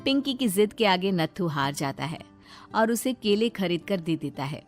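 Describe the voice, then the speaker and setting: A woman's voice: on the low side, lively intonation, moderate pace, faint music behind. A woman narrating a scripted children's story as storyteller.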